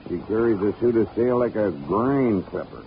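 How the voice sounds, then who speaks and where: A gruff old sea captain full of nautical slang, his low voice rolling up and down. It sounds like a dull 1940s radio drama under steady hiss. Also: moderate pace. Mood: salty, gruff. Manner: nautical slang, calls others "Sonny". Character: gruff old male boat captain, salty sea talk.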